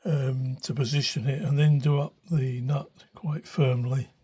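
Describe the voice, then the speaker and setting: A man's voice: mid-range voice, little pitch movement, measured pace, dark tone, breathy edge. An older man giving an informal, unscripted demonstration as a hobbyist, in a hesitant, thoughtful manner. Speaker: hobbyist radio restorer. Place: small cluttered room indoors. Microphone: handheld phone behind the camera.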